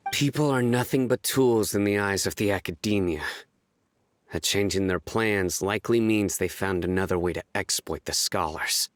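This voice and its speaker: An actor playing a stern, cold, menacing warrior in a video game, speaking in a terse deadpan. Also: male voice, fairly low pitch, moderate pace, no echo, lightly acted. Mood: grim, composed.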